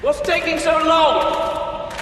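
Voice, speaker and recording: An actor playing a weary, sceptical soldier in a sci-fi audio drama, complaining pointedly in a reverberant tunnel. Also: male voice, very high voice, animated, takes it slowly, a bit bright, slight background noise, exaggerated voice. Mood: exasperated.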